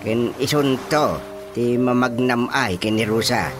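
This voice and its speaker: An actor with an Ilocano accent playing an excitable, scheming middle-aged father in a radio drama comedy, with music behind him. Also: medium pitch, unhurried, natural voice. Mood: plotting. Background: background music.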